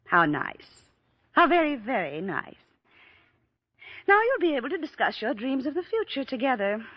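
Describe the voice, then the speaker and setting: Muffled vintage radio play, villainous stepmother, sugary, sarcastic, high swooping intonation, theatrical. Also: big pitch swings, normal speed, no room sound. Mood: falsely sweet, taunting. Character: scheming, silky, beautiful invalid stepmother villainess. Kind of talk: old-time radio drama acting. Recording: muffled old radio recording.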